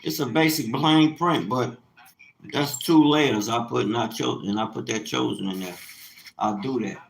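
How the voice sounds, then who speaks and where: A man in his fifties speaks off the cuff on a livestream in a medium-pitched voice. His talk is colloquial and rambling, circling back to repeat phrases. The room echoes noticeably, with a little noise behind him. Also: moderate pace, vocal fry. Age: 50s. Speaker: livestreamer, small business owner. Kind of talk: casual livestream monologue.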